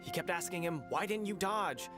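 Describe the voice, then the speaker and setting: Man voicing a down-on-his-luck young fighter, apologetic, mid-pitched, game music playing. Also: normal speed, close to an everyday voice. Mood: rueful. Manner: rueful, apologetic storytelling. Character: weary young male martial artist. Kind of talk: video game dialogue. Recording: game audio under music.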